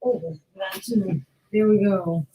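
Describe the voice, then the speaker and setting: A woman's voice: medium pitch, slow pace, dark tone. A woman hosting a casual podcast, talking in loose slang and swearing freely. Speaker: host of a podcast. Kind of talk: casual chat show hosting. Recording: echoey room with background noise.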